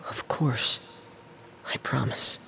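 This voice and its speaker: A man playing a shy, withdrawn teenage younger brother in a video game, quiet and terse. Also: slow, noisy background, natural voice. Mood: quietly resolved.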